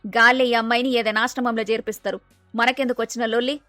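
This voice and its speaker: A woman's voice: on the high side, steady tone, rapid-fire, bright, forceful tone, dry recording, faint music behind. A woman with a Telangana accent playing a blunt, scheming young daughter-in-law in a family story. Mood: sharp, scheming.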